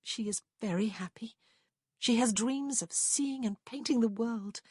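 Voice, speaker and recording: A woman's voice: a little high, unhurried, dark tone, husky, no echo, studio quality, voice pushed up. A woman voicing an anxious young lady in love who speaks tenderly and breathily. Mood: confiding.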